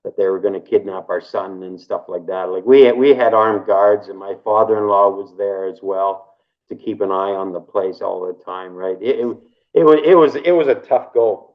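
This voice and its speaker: Low-voiced man, rambling with "right?". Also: normal speed, highs cut off. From Canada. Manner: rambling, tags sentences with "right?", repeats himself. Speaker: interview guest. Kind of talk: casual recounting in an interview.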